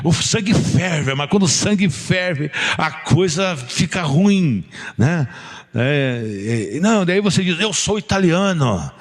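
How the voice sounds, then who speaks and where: A man's voice: fairly high pitch, expressive, takes it slowly. Brazilian man preaching in a reverberant hall. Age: middle-aged. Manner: conversational and jocular, with rhetorical questions and tag questions. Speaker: pastor preaching. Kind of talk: informal sermon with anecdotes. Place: church building, preaching to a congregation. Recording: long reverberant hall sound.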